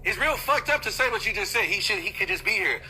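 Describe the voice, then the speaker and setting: A man's voice: high-pitched, animated, fast talker, sharp tone, slightly rough. A man in a heated argument on a recorded phone call, confrontational and profane, pressing the same points over and over.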